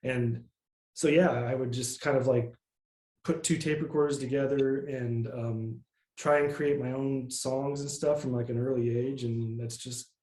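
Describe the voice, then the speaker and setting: A man with a nasal voice, a musician guest in a casual interview, talking in a relaxed, rambling way with plenty of fillers. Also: medium pitch, unhurried, roomy sound.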